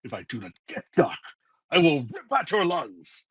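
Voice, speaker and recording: A man voicing a gruff, short-tempered male alien warrior who growls threats. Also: on the high side, big pitch swings, normal speed, no room sound, highs cut off, voice pushed up, heavily acted. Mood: furious.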